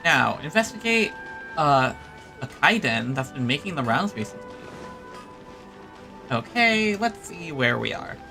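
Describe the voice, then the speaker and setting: A man's voice: fairly high pitch, sing-song, a little slow, creaky, long pauses, pushed and bright. A man streaming a game, giving casual live commentary as he plays. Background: game music.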